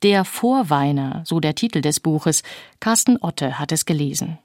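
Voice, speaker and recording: A woman's voice: low-pitched, expressive, moderate pace, a little mellow, no echo, clean studio sound. A woman presenting on the radio, reading a scripted introduction.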